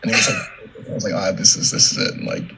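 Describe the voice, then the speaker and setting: A young man, an artist, chatting casually in a halting, informal, modest way over a video call. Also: bright, forceful tone, slight background noise, rough recording. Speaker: artist presenting work. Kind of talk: casual chat.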